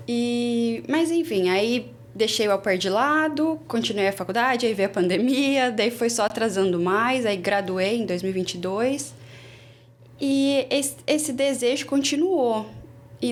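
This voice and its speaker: A Brazilian woman in her twenties, a former au pair, telling her story in a casual interview with lively restarts. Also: mid-range voice, slight background noise. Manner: chatty, animated, many restarts.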